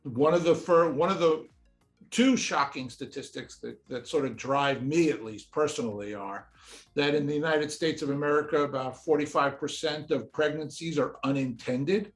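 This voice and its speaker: A man's voice: fairly high pitch, moderate pace, faint music behind. A man in his sixties, a professor, answering interview questions earnestly, often restarting his sentences as he searches for words, in an office. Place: indoor office with shelves and pictures.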